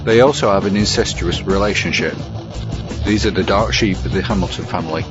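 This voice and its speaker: A man's voice: sits low, fairly quick. A man presenting scripted film reviews as a narrator. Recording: dull lo-fi with music behind. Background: music.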